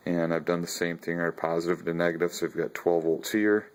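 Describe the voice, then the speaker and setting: A man walking through his home battery and radio setup in a casual vlog, talking haltingly with many ums and self-corrections. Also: deep voice. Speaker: video blogger showing his ham radio setup. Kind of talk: casual walkthrough narration. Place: house, garage and basement.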